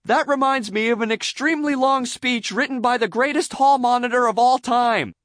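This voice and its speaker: A man voicing an eager, self-important cartoon sea sponge boy in grand, over-excited declarations for a children's storybook. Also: very high voice, moderate pace, no room sound, voice pushed up. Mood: pompous, earnest.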